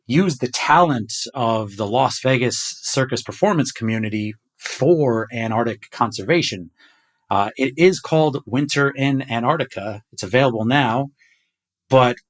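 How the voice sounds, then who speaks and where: A man with a mid-pitched voice hosts a podcast discussion. He speaks in a casual, conversational style, as in a relaxed group chat. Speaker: podcast host.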